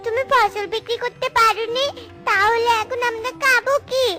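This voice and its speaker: A woman's voice: very high-pitched, steady tone, normal speed, bright, forceful tone, faint music behind. A woman voicing a young bird chick in a cartoon, anxious and full of questions. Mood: worried.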